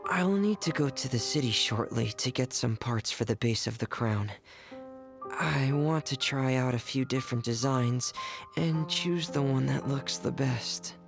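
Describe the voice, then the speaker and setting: An actress playing a shy, gentle, earnest teenage boy in a video game, speaking quietly and hesitantly. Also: extremely low pitch, unhurried, creaky, music in the background, heavily acted. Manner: hesitant, quiet, soft-spoken.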